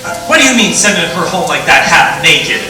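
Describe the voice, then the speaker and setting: A man's voice: on the high side, big pitch swings, brisk. An actor playing a stressed, short-tempered family father in a 1940s-style radio play. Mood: angry. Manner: agitated, swinging between outbursts and softness. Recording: echoey stage, distant mic, music behind.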